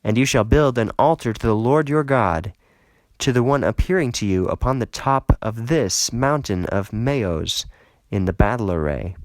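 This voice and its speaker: A man voicing a calm, commanding God with a solemn, deliberate manner in a dramatised scripture reading. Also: mid-range voice, no room sound, natural voice. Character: calm, commanding male God giving orders.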